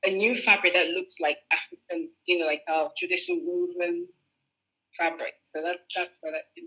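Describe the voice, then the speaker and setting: A woman's voice: lowish voice, very animated, moderate pace, sharp tone, rough recording. A woman fashion designer, a guest in a video-call interview, explaining her work thoughtfully with restarts and fillers. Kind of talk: relaxed conversational interview.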